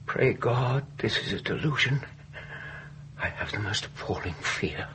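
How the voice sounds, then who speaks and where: An actor playing an anxious, fussy, well-bred British gentleman in a ghost story radio drama, formal and urgent in manner. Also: fairly low pitch, unhurried, heavy background noise, lightly acted. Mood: dreadful unease. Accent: British English. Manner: formal, old-fashioned, urgent.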